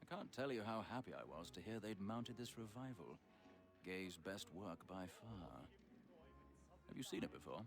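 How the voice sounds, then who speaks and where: A man playing the courtly, scheming leader of a secret order in a video game, speaking in a formal, grandiloquent, deliberate way. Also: low-pitched, animated, vocal fry, soft background music. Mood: genial, conspiratorial. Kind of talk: video game cutscene acting.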